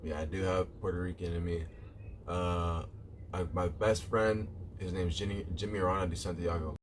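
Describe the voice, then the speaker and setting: A man's voice: deep voice, flat delivery, moderate pace, a little hiss or hum. A man live streaming, chatting casually with viewers in a rambling way, repeating himself and calling them "bro".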